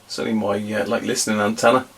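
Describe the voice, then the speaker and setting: A man's voice: fairly low pitch, level tone, racing, gravelly, slightly rough. A man giving a casual hands-on demonstration, thinking aloud as he goes. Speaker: vintage radio hobbyist presenter.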